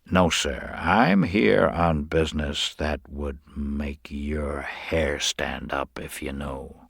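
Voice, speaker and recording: An actor playing a smooth con man who feigns sincerity in folksy, earnest, confiding talk. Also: rumbling low, takes it slowly, a bit bright, no echo, studio quality, pitched below the performer's own voice, exaggerated voice.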